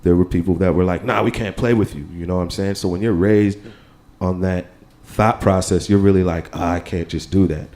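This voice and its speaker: A man's voice: low voice, fairly even intonation, a little fast, darkish tone. An American man with a casual, rambling manner, talking on a live panel and often checking in with the listener. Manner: rambling, keeps saying "you know what I'm saying". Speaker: panel guest, percussionist. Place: live radio studio with audience.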